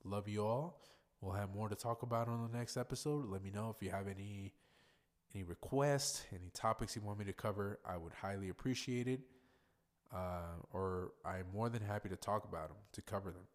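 A man with a somewhat low voice hosts his own podcast, rambling casually on his own. He is congested and sounds plainly nasal, and he swears freely as he talks. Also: fairly quick. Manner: rambling, profane, loose and chatty. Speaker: podcast host. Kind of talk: casual solo podcast monologue.

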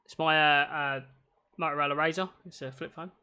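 A man's voice: a little high, steady tone, normal speed, a crowd in the background. A man hosting a casual podcast from home over a video call, rambling and swearing freely with lots of restarts. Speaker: podcast host.

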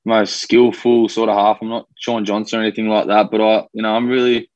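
A man's voice: fairly low pitch, little pitch movement, fairly quick, a little mellow, no echo, muffled recording. A young man with an Australian accent, a rugby league player chatting in a laid-back, rambling way with lots of fillers. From New Zealand, raised in Australia. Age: young adult. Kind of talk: casual podcast interview.

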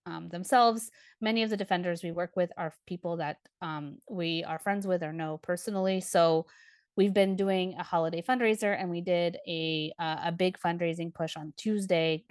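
A woman from Ukraine, a podcast panelist chatting casually, animated and full of fillers. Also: fairly low pitch, smooth, no room sound, studio quality.

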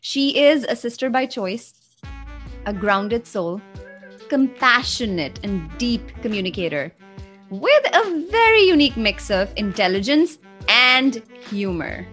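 A woman hosts a podcast with eager enthusiasm and a playful, teasing edge. Her voice sits fairly high. Faint music plays underneath. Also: very animated, unhurried, a bit bright. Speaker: podcast host. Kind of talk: podcast conversation.

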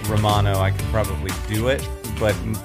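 A man's voice: lowish voice, flat delivery, brisk, over music. A man with a nasal, throaty voice, chatting casually and self-deprecatingly as a podcast co-host. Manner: self-deprecating, rambling with restarts. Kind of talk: casual chat.

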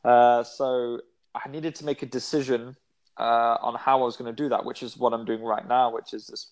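A man's voice: mid-range voice, level tone, moderate pace, darkish tone. A man in his twenties, a guest in a casual interview, talking in a rambling way with many fillers and restarts. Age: 20s.

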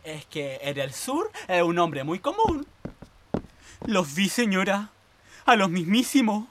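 A woman playing an excitable, fearful housemaid from the south in a radio play, with a Chilean accent and a breathless, deferential, rambling delivery. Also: medium pitch, slow, slight background noise. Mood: flustered, evasive. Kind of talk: Spanish-language radio theatre acting.

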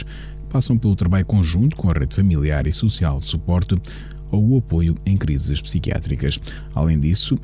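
A man presenting a radio news bulletin in a measured, formal reading voice, with a European Portuguese accent. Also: deep voice, warm tone.

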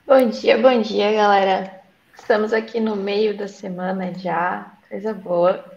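A woman co-hosting a live online show, upbeat and relaxed, speaking over a video call. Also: medium pitch, takes it slowly, vocal fry. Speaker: co-host. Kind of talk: casual live show chat. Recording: echoey room, dull lo-fi sound.

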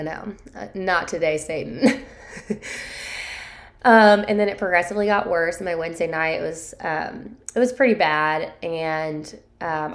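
A woman, hosting her podcast solo with a hoarse voice while recovering from a sore throat, rambling candidly with many fillers. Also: sits low. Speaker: podcast host. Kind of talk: casual solo podcast chat.

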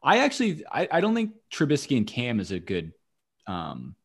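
A man's voice: medium pitch, wildly swooping pitch, moderate pace, vocal fry, husky. A man co-hosting a sports podcast, chatting casually in a joking, slangy way.

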